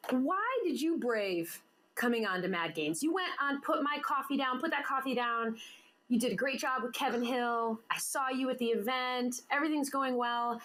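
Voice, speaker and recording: A woman's voice: high voice, a bit bright. Bubbly teasing woman hosting a chat. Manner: bubbly, teasing, quick to laugh. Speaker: podcast host. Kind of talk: casual interview chat.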